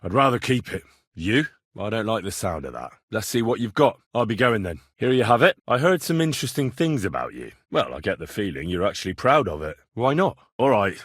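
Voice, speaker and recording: Husky young medieval adventurer. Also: male voice, on the low side, a bit bright, no echo, clean studio sound. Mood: wary, bargaining. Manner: colloquial British phrasing, plain-spoken. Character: young medieval adventurer, earnest, blunt, streetwise. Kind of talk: video game dialogue voice acting.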